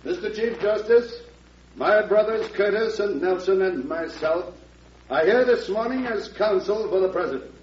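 A president's devoted lawyer in a classic radio play. The delivery is formal, measured and grave, like courtroom oratory. The vintage recording is dull, with faint noise. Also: male voice, high voice, expressive. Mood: formal, declaiming. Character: solemn, loyal male defense lawyer to the president. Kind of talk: old-time radio drama acting.

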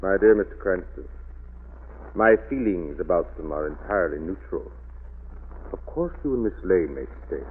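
An actor playing a secretive, formally courteous middle-aged mansion owner, halting and evasive, in 1940s radio drama style. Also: male voice, low-pitched, expressive, slightly rough. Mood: cool, guarded. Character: guarded, courteous older master of a mansion, secretive father. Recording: tinny old radio recording.

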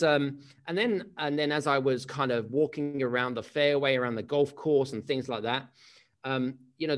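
Energetic man in his thirties. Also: on the high side. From England. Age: 30s. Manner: chatty, energetic, many restarts and tag questions. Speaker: keynote speaker. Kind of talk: motivational talk to an audience.

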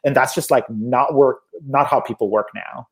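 A man's voice: medium pitch, normal speed, breathy edge. A man in his thirties from Turkey, a theater producer, talking casually and reflectively with many fillers. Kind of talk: casual conversation.

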